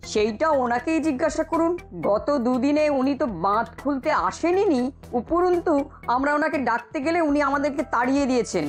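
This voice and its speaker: High, complaining cartoon villager played by an actor. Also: brisk, faint music behind, natural voice. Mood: aggrieved. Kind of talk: cartoon voice acting.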